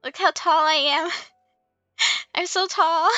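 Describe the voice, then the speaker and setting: A woman's voice: very high-pitched, very slow, bright, forceful tone, dry recording, faint music behind. A woman livestreaming a game in casual chat, playful and giggly as she comments and reads out dialogue. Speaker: livestream host playing an online game.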